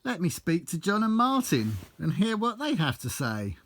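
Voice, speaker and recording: A man's voice: sits high, sing-song, normal speed, a bit bright. A man reciting his own rhyming life story in a theatrical, blunt, sweary storytelling manner. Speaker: author reading own book. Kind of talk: recited rhyming autobiographical verse.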